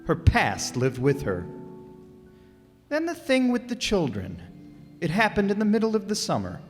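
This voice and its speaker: Lively old-time radio announcer played by a man. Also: high-pitched, normal speed. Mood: storytelling. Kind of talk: 1950s radio announcing and scripted narration. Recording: reverberant hall with music behind.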